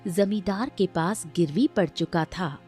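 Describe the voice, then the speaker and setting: A woman's voice: lowish voice, unhurried, a little mellow, breathy edge, faint music behind. A woman narrating a scripted moral story in storybook style.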